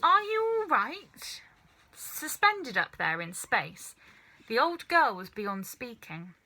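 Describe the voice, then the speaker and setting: A woman narrating a children's story in an expressive, scripted storytelling style. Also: medium pitch. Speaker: storybook reader.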